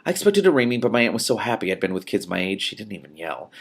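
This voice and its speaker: A man with a fairly low voice and a strong fry reads fiction aloud. His delivery is expressive and emphatic, sometimes falling to a hush, with the informal feel of a host sharing his reactions. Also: fast talker. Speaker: host reading a novel aloud with commentary. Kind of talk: expressive audiobook-style reading with spontaneous reactions.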